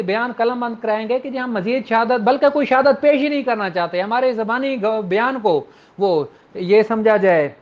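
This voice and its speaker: The audio is muffled and low in fidelity. A law lecturer with a Pakistani accent speaks at a middling pitch, explaining things step by step in an informal classroom style. English legal terms are mixed into the explanations and examples. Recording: dull, lo-fi audio.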